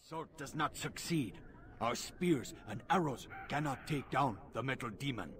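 An actor playing an earnest, reverent alien warrior scout, declaiming in clipped, stilted English for a video game. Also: male voice, medium pitch, lively intonation, normal speed, noisy background, close to an everyday voice. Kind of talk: video game dialogue acting.

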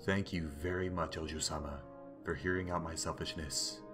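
A man's voice: sits low, fairly even intonation, normal speed, natural voice. A man playing a devoted, composed young maid in an amateur comic dub, speaking earnestly and formally. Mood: tender resolve. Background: music.

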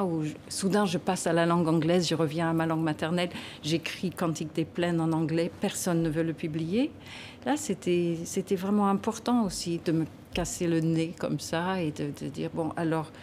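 Woman, 60s, from Canada, guest author in a TV studio, low voice, faint ambience. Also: darkish tone, slight background noise. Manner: thoughtful, emphatic, gesturing while talking. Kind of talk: TV studio interview. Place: TV news studio. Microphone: desk microphone.

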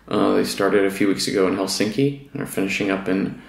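A man's voice: low-pitched, completely flat delivery, fairly quick, creaky, echoey room. A man being interviewed as a guest, chatting casually and affably as he thinks aloud. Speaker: podcast guest, fiction writer.

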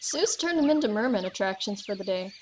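A woman's voice: medium pitch, lively intonation, a little fast, slight background noise, close to an everyday voice. A woman playing a laid-back, teasing teenage girl in a cartoon comic dub. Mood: amused, teasing.

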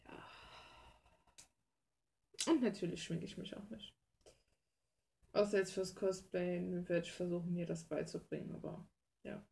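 A woman's voice: lowish voice, fairly even intonation, unhurried, vocal fry, pauses often, dark tone. A young adult woman from Germany chatting casually as she works on her art, self-deprecating, blunt and slangy, recorded at a desk in a small room. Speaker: hobby artist and video creator. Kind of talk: casual rambling chat while painting.

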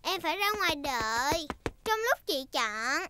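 A woman's voice: high-pitched, very animated, a little slow, heavy background noise. A woman dubbing a bossy, teasing little girl piglet in a cartoon, giggly and sure of herself. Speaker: cartoon character. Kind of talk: Vietnamese cartoon dub. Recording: clean studio dub over music.

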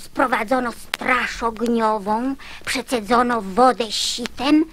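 A woman's voice: on the high side, slow, fairly bright tone, a little hiss or hum. An actress playing a chatty little girl who eagerly tells tall tales, in a theatrical radio recitation of children's verse. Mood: eager, insistent.

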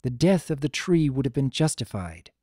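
A narrator voicing a regal, mature female pony ruler, calm, formal and firm. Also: medium pitch, normal speed, dry recording, studio quality. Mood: firm, grave.

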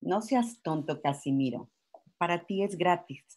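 An older woman playing a warm sixties veterana spiritual healer, read over a video call. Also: fairly low pitch, expressive, moderate pace. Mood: affectionate. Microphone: video call from home.

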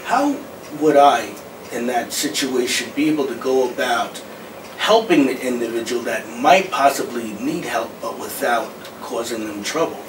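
A man's voice: medium pitch, lively intonation, moderate pace. A man interviewing as a student, asking polite, careful questions. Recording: distant, roomy mic with background noise.

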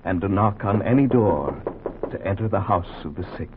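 An American actor voicing a human heart as a grave, poetic narrator in a 1940s radio drama. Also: male voice, low voice, lively intonation, normal speed. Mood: solemn, lyrical. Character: the human heart, solemn male narrating presence. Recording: noisy, tinny 1940s radio recording.

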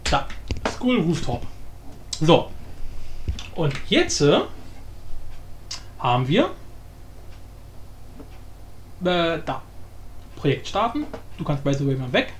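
A man hosting a casual software tutorial in a colloquial, mildly crude, joking way. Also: on the high side, sing-song, slow, pauses often, a little hiss or hum. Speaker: tutorial host.

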